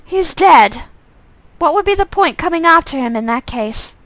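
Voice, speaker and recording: A woman's voice: high-pitched, lively intonation, moderate pace, fairly bright tone, no room sound, pitched above the performer's own voice. A woman voicing a wary visual novel heroine, pointed and questioning in her delivery. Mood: questioning, annoyed.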